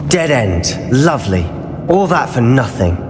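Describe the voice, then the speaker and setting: A man's voice: medium pitch, big pitch swings, measured pace, music in the background. An actor playing an earnest, driven teenage male student of wizardry in a video game, explaining things intently and articulately. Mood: bitter. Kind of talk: dramatic video game voice acting. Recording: long cavernous reverb.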